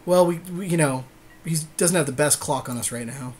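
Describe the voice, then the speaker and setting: A man's voice: a little high, moderate pace, darkish tone, slight background noise. A man giving casual commentary on a card game as a podcast co-host.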